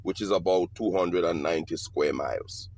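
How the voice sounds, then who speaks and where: A man's voice: sits low, moderate pace, vocal fry, a little mellow. A man from Dominica making a formal, declaring statement. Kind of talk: announcement-like statement.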